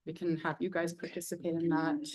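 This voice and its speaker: A woman's voice: fairly low pitch, level tone, brisk, darkish tone. A woman artist leading a casual public conversation at an event, hesitant with many ums and plainly enthusiastic. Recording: voice heard from far off the mic.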